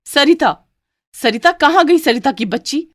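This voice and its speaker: A woman voicing a spoiled, arrogant young daughter-in-law in a cartoon story, high and demanding. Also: lively intonation, moderate pace, no room sound, studio quality, natural voice. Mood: angry. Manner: high, demanding, sugary to elders, sharp to the maid.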